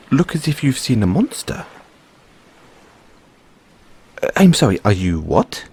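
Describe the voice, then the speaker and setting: A man's voice: fairly high pitch, darkish tone, breathy edge, exaggerated voice. A man playing an earnest, cheery merman prince in an audio roleplay, speaking in a theatrical, exclamatory way with genteel, fussy politeness. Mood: puzzled, indignant. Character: young, cheery, dramatic, naively earnest merman prince of Atlantis. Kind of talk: audio roleplay addressed to the listener.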